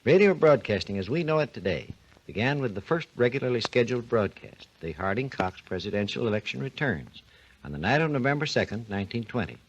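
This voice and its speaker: A man, a research engineer and guest, explains his subject in a measured, lecturing way on a scripted 1940s radio talk program. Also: medium pitch, animated, a little hiss or hum, poor audio.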